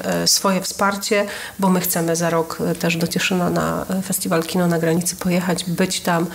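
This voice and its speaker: A woman's voice: lowish voice, flat delivery, moderate pace, creaky. A woman hosting a culture podcast, talking earnestly with frequent hesitations. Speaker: podcast host. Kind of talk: casual conversation about culture.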